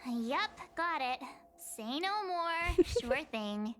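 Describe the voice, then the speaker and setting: A woman's voice: high-pitched, animated, slow. A woman voicing a cheeky, brash young female companion in a video game. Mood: pleased, flippant. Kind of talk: scripted video game voice acting.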